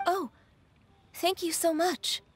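A woman playing a gentle, earnest young secretary in a video game, speaking politely and with care. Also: very high voice, steady tone, slow, over loud music. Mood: polite, concerned.

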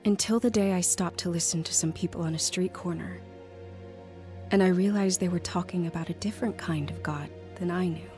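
A woman's voice: on the low side, brisk, darkish tone, soft background music, natural voice. An actress playing a lonely, anxious young woman narrating her life story in an audio drama. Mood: reflective, sad. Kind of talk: first-person dramatic narration.

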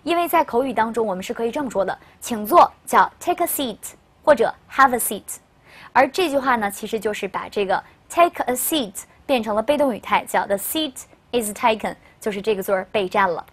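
A woman's voice: fairly high pitch, lively intonation, a little fast. A young woman teacher presenting a lesson to camera, explaining to learners and stressing key phrases. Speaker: English teacher. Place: indoor studio.

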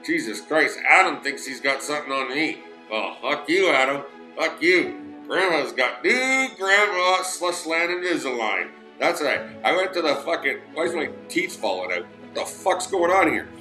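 Man livestreaming, foul-mouthed grumbling rant, bright forceful voice, roomy echo, faint music behind. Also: on the high side, sing-song, moderate pace, hardly any pauses. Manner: crude, cursing, grumbling. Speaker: livestream host. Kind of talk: profane livestream ranting.

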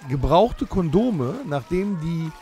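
A man's voice: on the high side, expressive, slow, dark tone, faint music behind. A man hosting a podcast guessing game among friends, teasing the others and giving hints between laughs. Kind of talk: casual chat among friends.

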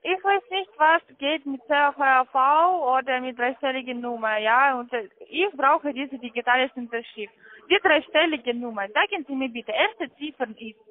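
A woman making an insistent call centre call, pushy and repetitive, with halting non-native phrasing. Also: high voice. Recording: tinny narrowband phone line.

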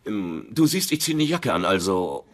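Sarcastic husband, animated pitch. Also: male voice, moderate pace, a little hiss or hum. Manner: sarcastic, grumbling. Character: stressed, sarcastic working-class husband, a courier. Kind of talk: German-dubbed sitcom acting.

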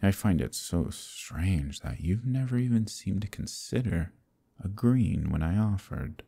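A proud, possessive demon king who speaks slowly and silkily to his captive. His voice sits extremely low, with a gravelly fry and a husky edge. The tone stays dark and mellow throughout. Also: animated, exaggerated voice. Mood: insecure. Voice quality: deep gravelly voice. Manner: slow, silky, menacing persuasion. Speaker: voice actor. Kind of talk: ASMR roleplay.

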